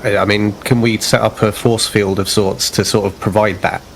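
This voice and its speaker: A man playing a tabletop game, asking quick questions casually. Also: fairly low pitch, steady tone, vocal fry, a little hiss or hum, poor audio. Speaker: player.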